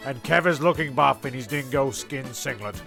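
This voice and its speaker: A man's voice: mid-range voice, moderate pace, gravelly, sharp tone, faint music behind. A man playing the wry, slightly exasperated narrator of a castaway comedy radio play, stumbling now and then.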